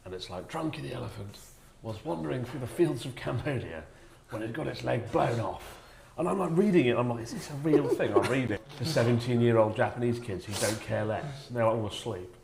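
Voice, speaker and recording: A man's voice: medium pitch, a little fast, a crowd in the background. Sardonic man telling stories, echoey room. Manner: animated, sardonic, acts out quotes. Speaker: storyteller, former English teacher. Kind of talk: casual comic anecdote told to friends. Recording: roomy echo with clear background noise.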